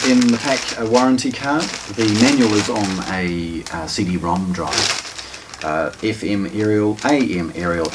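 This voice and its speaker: A man presenting a product walkthrough off the cuff in a hesitant, matter-of-fact way. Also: fairly low pitch. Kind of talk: unscripted product unboxing walkthrough. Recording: distant mic, noticeable background noise.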